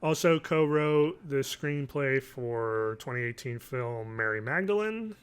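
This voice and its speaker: A man's voice: mid-range voice, animated, measured pace, smooth. A man in his thirties, a podcast co-host chatting and joking casually about film and TV, with some swearing, close on a mic at home. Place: small home room, remote call.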